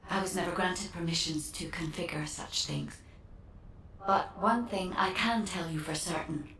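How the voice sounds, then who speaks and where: A woman's voice: lowish voice, unhurried, a bit bright, distant mic, a little hiss or hum. Echoey, serene female AI guardian. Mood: calm, earnest. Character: serene female AI guardian code, polite and measured. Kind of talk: scripted game dialogue.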